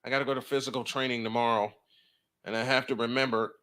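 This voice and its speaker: A man's voice: mid-range voice, flat delivery, fast talker, sharp tone, no echo. A man doing live baseball play-by-play on a stream, mixing in casual chat and rambling as he thinks aloud.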